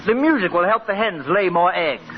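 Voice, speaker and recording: An actor playing a polite, fussy, easily astonished gentleman as straight man in a 1940s radio comedy. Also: sits high, animated, brisk. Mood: curious. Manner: proper, courteous, fussy. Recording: very noisy, muffled old radio recording.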